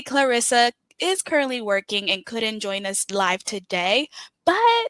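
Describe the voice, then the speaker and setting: A woman's voice: fairly high pitch, bright, forceful tone, no room sound. A woman hosting a broadcast, upbeat, reading announcements from a script. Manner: upbeat presenter, reading names. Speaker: host.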